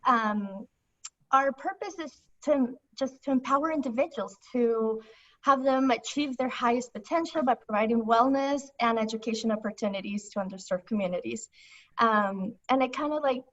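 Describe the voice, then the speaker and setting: A woman's voice: on the high side, moderate pace, fairly bright tone. A young woman from Ecuador, a foundation staff member, chatting casually as an interview guest over the phone, laughing often and using many fillers. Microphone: phone line. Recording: distant, muffled phone audio.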